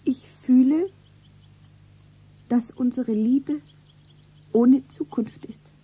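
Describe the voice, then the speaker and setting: Eine Schauspielerin spielt in einem Hörspiel eine junge, naive Verkäuferin, zärtlich und sentimental verliebt, mit weicher Stimme. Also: female voice, sits high, drawn-out words, dark tone, breathy edge, full character voice. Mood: wistful. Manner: naive, sentimental, self-narrating. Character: slender young shopgirl, tender, wistfully devoted lover. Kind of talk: German radio play acting.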